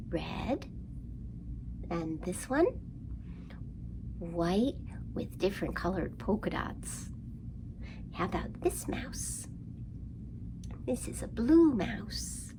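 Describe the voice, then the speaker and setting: A woman leads a children's storytime at a very unhurried pace. Her voice has noticeable fry, and her delivery is sing-song and full of questions for little listeners. There is faint noise in the background. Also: mid-range voice. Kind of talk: interactive storytime for young children.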